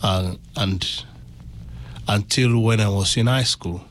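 A man with a Kenyan accent giving a personal testimony as an interview guest, in halting, deliberate phrases. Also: fairly low pitch, a little hiss or hum.

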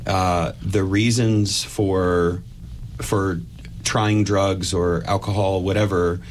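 A man's voice: low voice, little pitch movement, slow pace, slight background noise. A man hosting a conversational interview, putting his questions in thoughtful, hesitant phrases. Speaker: interviewer, host.

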